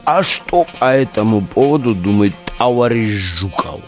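An actor in a modern audio drama playing a cynical, bossy relic digger, a slangy and commanding drinking buddy. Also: male voice, lowish voice, animated, normal speed, soft background music, close to an everyday voice. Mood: mock-solemn authority.

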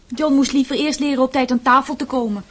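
Pert, high-voiced young miss. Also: female voice, steady tone, fast talker, a bit bright, husky. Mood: sharp. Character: pert, excitable, nosy young daughter. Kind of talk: radio play acting.

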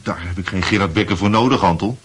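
An actor playing a sly young drinking companion in a radio drama, teasing and insinuating. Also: male voice, low voice, fast talker, a bit bright, husky. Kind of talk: Dutch radio drama acting.

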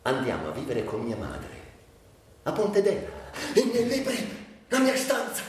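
A woman's voice: low-pitched, huge pitch swings, normal speed, a little mellow, pitched above the performer's own voice. An actor voicing a chattering young woman who is breaking up with her lover, breezy, self-justifying and pleading. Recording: very echoey, distant, faint noise.

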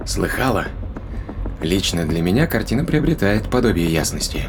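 An actor playing a stern, impatient spaceship officer who questions bluntly and reasons in formal phrases, in an audio drama. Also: male voice, sits low, sing-song, moderate pace, background noise. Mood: analytical, measured.

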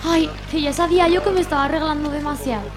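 A woman's voice: high voice, steady tone, quick pace, music in the background, lightly acted. A woman playing a good-natured, fretful young market woman in a radio play, chatty and complaining.

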